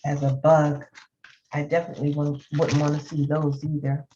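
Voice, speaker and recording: A woman reading a children's story aloud expressively, with drawn-out emphasis. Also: sits low, completely flat delivery, warm tone, a little hiss or hum. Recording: slightly dull, lo-fi sound.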